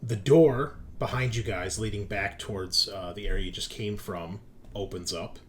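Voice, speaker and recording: Low-voiced man running a tabletop game. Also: normal speed, slight background noise. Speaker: game master. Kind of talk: narrating a tabletop horror game, improvised.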